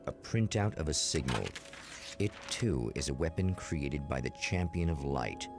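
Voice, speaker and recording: An actor playing the portentous narrator of an eerie anthology TV show, solemn and dramatic. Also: male voice, extremely low pitch, expressive, unhurried, music in the background, full character voice. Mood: solemn, ominous.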